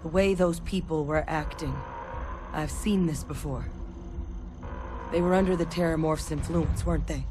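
A woman's voice: low-pitched, steady tone, moderate pace, long pauses, background noise, close to an everyday voice. Woman playing a serious, matter-of-fact soldier. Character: tough, earnest soldier, expert on alien creatures. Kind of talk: video game character dialogue.

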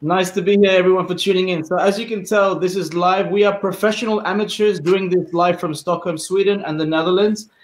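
A man's voice: high voice, fairly even intonation, a little fast, few pauses, reverberant. A man hosting a casual live stream, upbeat and laid-back, calling his guest bro. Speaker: host. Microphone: webcam on a video call.

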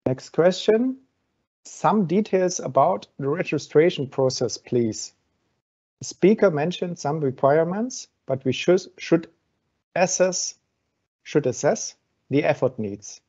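A man with a gravelly, dark voice hosts a webinar and works through audience questions one by one, with plenty of ums along the way. The sound is dull and lo-fi, as on a video call. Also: a little high, expressive, slow pace. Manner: explains step by step with frequent fillers. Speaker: webinar host from an exchange.

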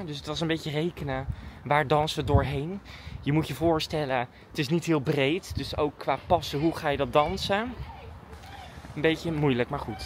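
A woman's voice: deep voice, a little hiss or hum. A woman chatting casually and informally to her vlog audience, thinking aloud as she goes. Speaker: daily vlogger and dancer.

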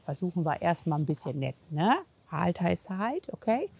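A woman talking casually as a horse trainer, explaining things on the spot outdoors with a slightly husky voice. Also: fairly low pitch, big pitch swings, normal speed, darkish tone. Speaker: horse physiotherapist and trainer, presenter. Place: outdoors at a horse yard and paddock.

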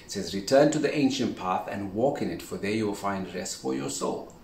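A man's voice: on the low side, lively intonation, a little fast, vocal fry, a little mellow. Earnest man teaching in an echoey kitchen. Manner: earnest, keeps adding "right?", gestures with his hands. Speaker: faith teacher. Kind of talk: casual religious teaching to camera. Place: indoors in a home kitchen with a large window. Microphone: camera at a few steps' distance. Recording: roomy, distant sound with faint hiss.

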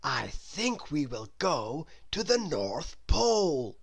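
A woman voicing a cheerful male cloud creature in a storybook, a friendly adventurous guide making measured, drawn-out announcements. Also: low-pitched, big pitch swings, creaky, husky, full character voice. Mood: pleased, inviting.

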